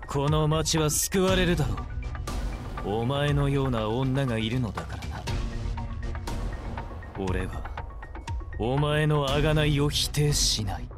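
An actor playing a brooding, vengeful antihero in an anime fighting game, declaiming in a grandiose, archaic manner. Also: male voice, medium pitch, natural voice. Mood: grave, measured. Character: theatrical, brooding, vengeful male antihero wreathed in flame. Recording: dramatic music behind.

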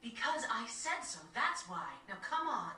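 A woman playing a stern, scolding village mother in a video game, with a sharp, commanding way of talking. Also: on the high side, sing-song, normal speed, a little hiss or hum. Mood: stern, alarmed. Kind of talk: English video game cutscene acting. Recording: distant, echoey TV game capture.

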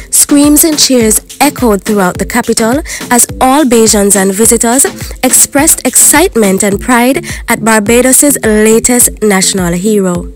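A young woman, hosting a chatty entertainment news vlog and talking straight to her viewers. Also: fairly high pitch. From Caribbean. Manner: chatty, animated, addresses viewers as guys. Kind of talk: casual news roundup vlog. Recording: music playing behind the voice. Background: music bed under the voice.

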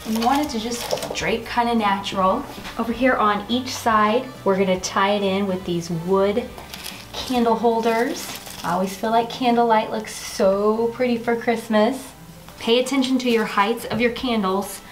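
A woman's voice: fairly high pitch, steady tone, normal speed, soft background music, rough recording. A woman in her forties hosting a chatty home decor vlog, talking through a table setting in her dining room with a camera across the table. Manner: chatty, bubbly, self-teasing. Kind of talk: casual how-to vlog.

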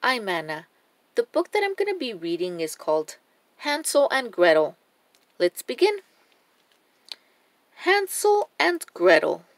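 Slow, lively woman reading a storybook. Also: a little high, dry recording. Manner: slow, deliberate, expressive read-aloud delivery. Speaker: storyteller reading children's books. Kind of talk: scripted children's storybook narration.

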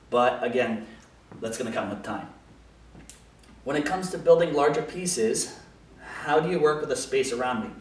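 An American man in his thirties talking casually to camera, explaining things as a woodworker answering questions in his small workshop. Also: medium pitch. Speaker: woodworker hosting a shop Q&A video. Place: small home workshop, indoors. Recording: very echoey room, distant microphone.

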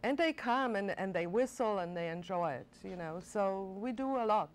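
An older woman talks eagerly in a television studio interview. She restarts her sentences and fills pauses with "uh" and "you know," recorded cleanly on a lapel mic. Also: medium pitch, slight background noise. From Poland. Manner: enthusiastic, animated, many fillers and restarts. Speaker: director of a college performing arts center.

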